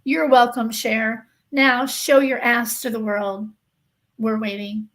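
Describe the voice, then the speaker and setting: A woman with a slightly high voice chats casually as the host of a livestream. She speaks directly to her viewers in an emphatic, insistent way, often restating a point to stress it. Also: fairly even intonation, slow, a bit bright. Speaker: livestream host of a true-crime gossip channel.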